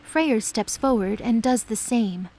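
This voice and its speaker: High-voiced woman narrating fantasy. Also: unhurried, warm tone, a little hiss or hum.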